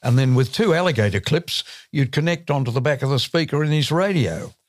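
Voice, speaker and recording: A man's voice: mid-range voice, lively intonation, a little fast, dry recording. An older Australian man, a veteran broadcaster, reminiscing in a dry, understated way during a casual podcast chat.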